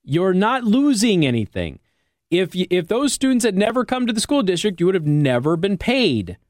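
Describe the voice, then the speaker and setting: An American man works as a talk radio host, speaking in an emphatic, conversational monologue. His voice sits slightly high and moves very freely up and down as he makes his points. The sound is dry and close, like a studio broadcast microphone. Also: big pitch swings, normal speed, dry recording. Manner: emphatic, argumentative, rhetorical check-ins like "okay?". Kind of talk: opinionated news commentary, conversational monologue.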